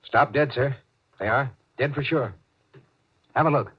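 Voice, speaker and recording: A man's voice: medium pitch, unhurried, breathy edge. An actor playing a dutiful, anxious junior spaceship officer in a 1950s radio drama, reporting deferentially to his captain. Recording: noisy, dull 1950s radio recording.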